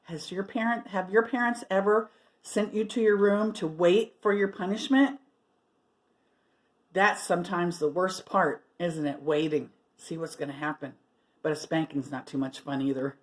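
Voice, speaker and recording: A woman storyteller reading aloud to children expressively, pausing to put questions and asides to her listeners. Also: fairly low pitch, steady tone.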